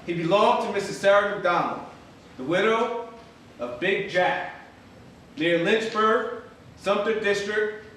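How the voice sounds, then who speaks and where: A man's voice: high-pitched, takes it slowly, long pauses, fairly bright tone. A man giving a dramatic reading as an earnest person searching for a lost brother. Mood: earnest, urgent. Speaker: stage reader. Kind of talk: dramatic reading of historical letters. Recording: very echoey hall, distant, faint noise.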